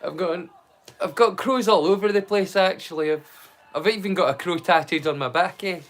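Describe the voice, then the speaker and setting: Higher-voiced man rambling casually on a livestream. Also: normal speed. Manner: rambling, stop-start, repeats words mid-sentence. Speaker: livestream host. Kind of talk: casual livestream chat with viewers.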